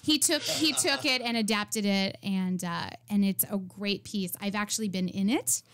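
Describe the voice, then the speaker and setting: Brief: woman in her 40s, stage actress and director, medium pitch, upbeat and talkative, radio guest. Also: normal speed, a crowd in the background. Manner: chatty and upbeat, many "um"s and restarts. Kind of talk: casual radio interview chat.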